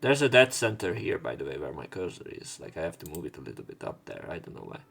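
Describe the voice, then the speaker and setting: A man's voice: deep voice, sing-song, fast talker, vocal fry, fairly bright tone, husky. A man reading a visual novel aloud as a let's play host, adding informal, sweary commentary.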